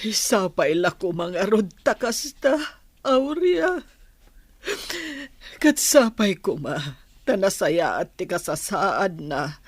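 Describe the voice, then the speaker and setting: A woman's voice: medium pitch, expressive, slow pace, no echo. An actress playing a sorrowful, plaintive mother in an Ilocano radio drama. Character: sorrowful mother grieving a lost child.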